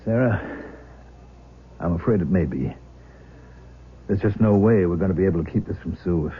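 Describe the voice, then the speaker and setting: A man's voice: deep voice, normal speed, close to an everyday voice. An actor playing an anxious, kindly middle-aged father in a 1970s radio drama, earnest and hesitant. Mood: worried. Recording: thin phone-like old radio broadcast.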